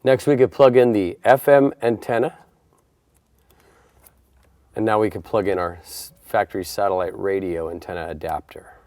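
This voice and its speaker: A man's voice: lowish voice, steady tone, moderate pace, long pauses, darkish tone, no room sound, studio quality. A man presenting a how-to installation tutorial, explaining each step in a plain, methodical way. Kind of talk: step-by-step instructional narration.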